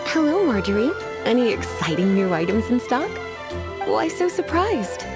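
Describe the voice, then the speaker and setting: A woman's voice: fairly high pitch, very animated, moderate pace. A woman voicing a languid, teasing female librarian-sorceress in a video game, drawling her lines and sighing wearily. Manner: drawling, sultry, weary sighs. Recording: game music underneath.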